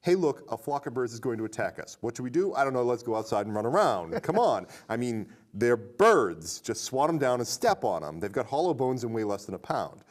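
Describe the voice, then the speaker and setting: A man hosting a casual movie review podcast, talking conversationally and reading aloud with animated emphasis. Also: medium pitch, a little fast, hardly any pauses. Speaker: podcast host.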